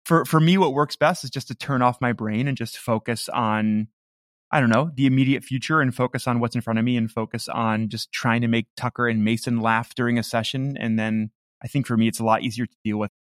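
A man's voice: mid-range voice, fairly even intonation, brisk, dry recording. An American man chatting in an interview in a mid-range voice. He comes across as earnest and self-deprecating, and the sound is very dry. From Midwestern United States. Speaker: comedy podcast creator. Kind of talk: casual interview conversation.

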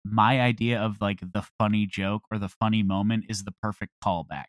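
Male interview guest: somewhat low pitch, informal, hesitant phrasing, dry close sound. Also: steady tone, moderate pace, dry recording. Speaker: audio comedy creator, interview guest. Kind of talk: casual interview chat.

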